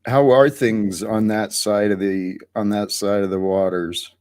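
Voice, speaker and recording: A man with a lowish voice hosts a livestream at a very slow, reflective pace. He pauses often and lets his thoughts settle before going on. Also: steady tone, a little mellow. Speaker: livestream host.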